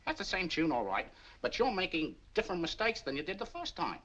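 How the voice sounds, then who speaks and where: Cockney pub musician, streetwise haggler, bright lively voice. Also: male voice, high voice, lo-fi sound, heavily acted. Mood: knowing. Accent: London Cockney. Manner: brash, streetwise, quick to bargain. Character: cheeky Cockney pub musician, middle-aged man. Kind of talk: 1940s film acting.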